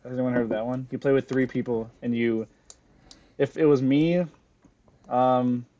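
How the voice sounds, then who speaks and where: Young dude telling a story on a podcast, um-ing a lot, kinda muffled audio. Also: male voice, mid-range voice, fairly even intonation, normal speed, warm tone. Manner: rambling storyteller, lots of fillers. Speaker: podcast co-host. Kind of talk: casual chat. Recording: dull lo-fi audio.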